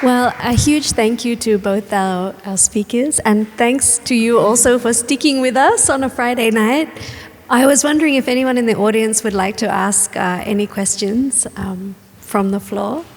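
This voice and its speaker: A woman's voice: fairly high pitch, steady tone, moderate pace. A woman moderating an audience discussion in an informal hosting style. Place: indoor public hall with audience. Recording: echoey hall with long reverb.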